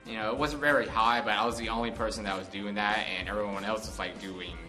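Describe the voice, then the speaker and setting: A young American man with a fairly low but very bright, forward voice chats his way through a personal story. He rambles conversationally without a script, with music playing faintly behind him. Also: fairly even intonation, quick pace. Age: 20s. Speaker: vlog host. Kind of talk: casual unscripted storytelling.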